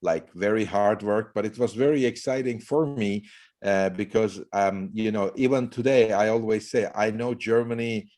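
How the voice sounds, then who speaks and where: A man's voice: on the low side, moderate pace, darkish tone. A middle-aged man from Turkey, a startup CEO talking as a podcast guest in a loose, rambling way with many fillers. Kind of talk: casual interview talk.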